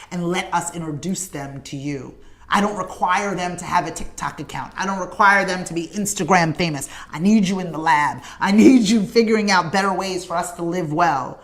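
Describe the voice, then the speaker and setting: Earnest woman hosting a morning stream. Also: on the low side. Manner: earnest, emphatic, repetitive rallying cadence; addresses viewers in comments by name. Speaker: morning show host. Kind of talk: direct-to-camera livestream appeal.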